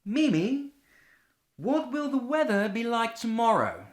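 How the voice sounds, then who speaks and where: A woman's voice: a little high, very animated, unhurried. A woman playing an impatient, exasperated official in a radio play, with a challenging, businesslike manner.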